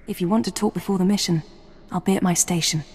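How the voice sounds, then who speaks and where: An actress voicing a composed female intelligence officer who speaks in a measured, dry way, in a video game cutscene. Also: on the low side, a little mellow, husky. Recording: long hall reverb.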